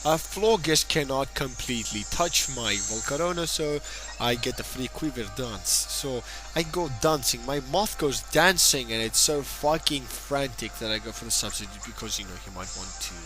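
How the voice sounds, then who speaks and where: A man's voice: mid-range voice, lively intonation, moderate pace, low-quality recording. A tipsy man giving casual, rambling play-by-play commentary on a game, joking and swearing as he goes. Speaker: gameplay commentator. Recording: voice over loud game music. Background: game music.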